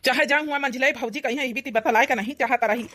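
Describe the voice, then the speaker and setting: A woman's voice: sits high, fast talker, fairly bright tone. A woman voicing a suspicious, worried young village husband with an Awadhi accent, muttering and exclaiming in a comedy cartoon. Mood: earnest, grumbling.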